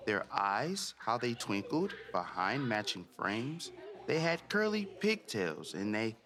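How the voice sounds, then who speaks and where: Male read-aloud host for kids. Expressive, direct address, slower pace, big swings in pitch. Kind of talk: children's storybook narration and chat to young listeners.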